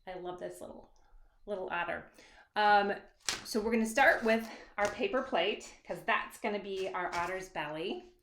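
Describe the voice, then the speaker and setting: A woman's voice: mid-range voice, normal speed, a bit bright. A woman giving a casual, encouraging, unscripted step-by-step craft tutorial for children. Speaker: children's author leading a craft demonstration. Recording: roomy echo, faint background noise.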